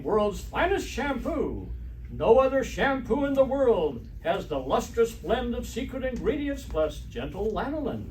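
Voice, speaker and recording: A man playing an emphatic old-time radio pitchman delivering a salesmanlike advertisement. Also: darkish tone, heavily acted. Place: live stage performance. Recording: distant, slightly noisy stage recording.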